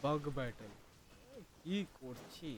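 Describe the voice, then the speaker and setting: A man's voice: medium pitch, rapid-fire. A man who is a native Bengali speaker, giving playful, chatty, casual commentary as a gaming streamer. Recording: faint background noise.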